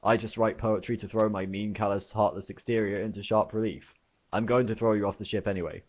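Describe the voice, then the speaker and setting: A man voicing a callous, pompous alien captain who speaks coldly and calmly. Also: lowish voice, fairly quick, dull audio, lightly acted. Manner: cold, pompous, detached.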